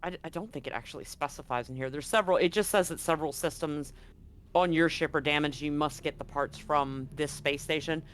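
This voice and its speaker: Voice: medium pitch, a little fast, fairly bright tone. Game master talking over background noise. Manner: informal, conversational, explanatory. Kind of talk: casual talk running a tabletop role-playing game. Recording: clearly noisy background.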